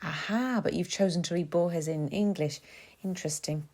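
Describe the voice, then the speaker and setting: A woman's voice: on the low side, normal speed, dark tone. A woman voicing a nervous, chatty, bookish young man in an audiobook, his sentences running into one another. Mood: nervous, awkward.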